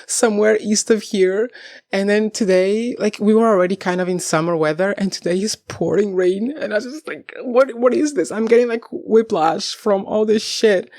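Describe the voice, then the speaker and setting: Chatty young woman with a dry close sound. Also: dry recording. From Portugal. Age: young adult. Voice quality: deep for a woman. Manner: animated, rambling, laughs often, swears casually. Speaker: book vlogger. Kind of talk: casual chat to camera.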